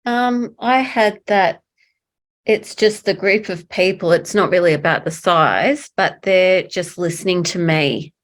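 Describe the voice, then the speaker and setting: A woman sharing hesitantly as a participant in an online workshop over a video call, halting between thoughts. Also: on the low side. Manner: hesitant, halting, many fillers.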